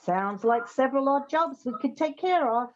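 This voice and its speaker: A woman's voice: high-pitched, animated, moderate pace, a little hiss or hum. A woman playing a wry, practical detective in a comic radio play, on a slightly dull recording. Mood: brisk. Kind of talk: comic old-time radio play acting.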